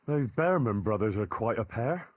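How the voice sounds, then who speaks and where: An actor playing an earnest, curious young medieval man in a video game, plain-spoken and inquisitive, with a husky voice. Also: on the low side, animated, moderate pace, dry recording. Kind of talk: video game cutscene dialogue.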